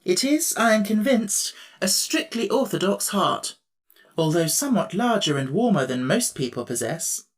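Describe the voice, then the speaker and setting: A man's voice: sits high, big pitch swings, moderate pace, pitched above the performer's own voice. A stately metal emperor with a lifted, ringing voice and polished, ceremonious phrasing, as a male audiobook reader puts him on. He speaks grandly and courteously, full of dignity. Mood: dignified. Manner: formal and gracious, exclamatory. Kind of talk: audiobook character voice.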